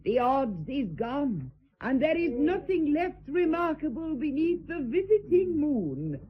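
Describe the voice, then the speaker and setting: An actress playing a vain, theatrical society hostess in a radio drama, with a grand, arch, declaiming delivery. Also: female voice, high-pitched, big pitch swings, a little slow, dull audio. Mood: grandly tragic. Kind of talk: stage-style radio drama acting.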